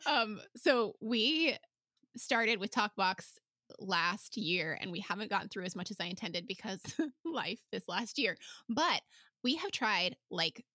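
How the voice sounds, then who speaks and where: A woman hosting a casual podcast interview, telling stories in an animated, informal way. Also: medium pitch, unhurried, no room sound, studio quality. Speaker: podcast host; homeschooling parent.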